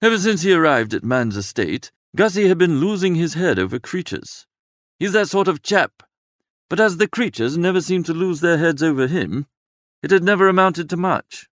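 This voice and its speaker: A man's voice: on the high side, expressive, normal speed, a bit bright, no echo, studio quality. A man playing a flippant, languid young upper-class idler, narrating a comic audiobook in a wordy, breezy, droll way.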